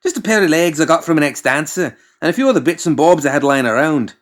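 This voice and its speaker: A man voicing an exasperated, excitable mad doctor in an audiobook, snappish, fussy and defensive. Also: fairly high pitch, fast talker, pitched above the performer's own voice, natural voice. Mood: apologetic, chatty. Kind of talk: audiobook character voice.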